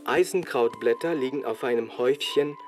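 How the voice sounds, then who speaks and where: A man's voice: medium pitch, moderate pace. A man narrates a scripted first-person account in short, clipped sentences. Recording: prominent music under the voice. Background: music.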